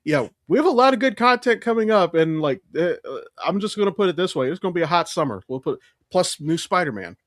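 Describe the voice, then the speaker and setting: A man's voice: high voice, very animated, fast, hardly any pauses, fairly bright tone, no room sound. Casual American man, dry close sound. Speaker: podcast co-host. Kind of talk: casual chat about comics and films.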